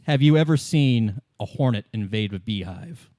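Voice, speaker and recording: A man running a tabletop roleplay as game master, laying things out in measured, deliberate explanatory phrases. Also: fairly low pitch, lively intonation, mellow, no room sound, clean studio sound.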